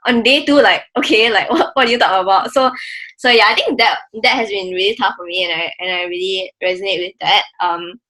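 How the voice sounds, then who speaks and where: A woman's voice: medium pitch, fairly quick, sharp tone, low-quality recording. A woman in her twenties with a Malaysian accent, chatting casually on a podcast with lots of filler words. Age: 20s. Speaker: podcast co-host.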